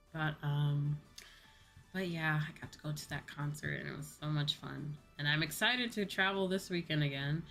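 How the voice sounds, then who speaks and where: Low-pitched young woman, chatty streamer. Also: unhurried, fairly bright tone, soft background music. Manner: chatty, informal, repeats phrases. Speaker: VTuber live streamer. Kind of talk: casual chat livestream.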